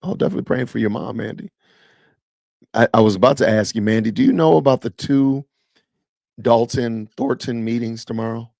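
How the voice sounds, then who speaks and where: A man's voice: medium pitch, a little fast, vocal fry, darkish tone, no room sound. A man in his fifties hosting a casual live stream, chatting with viewers and answering the live chat as he goes. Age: 50s. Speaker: podcast host.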